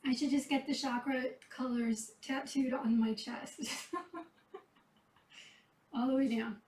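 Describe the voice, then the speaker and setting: A woman who rescues cats, chatting casually and softly while tending kittens, her voice going a bit hoarse. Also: high voice, fairly even intonation, slow pace, echoey room, recorded from a distance. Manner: chatty, soft-spoken, talks to the cats between remarks. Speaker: cat rescuer. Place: kitten room indoors.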